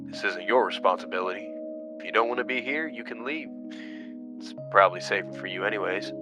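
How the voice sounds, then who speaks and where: A man's voice: lowish voice, brisk, over music, natural voice. An actor playing an earnest, inquisitive man investigating a mystery in an audio drama. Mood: concerned, questioning. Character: earnest, inquisitive man searching a murdered tavern keeper's home. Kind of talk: narrative podcast acting.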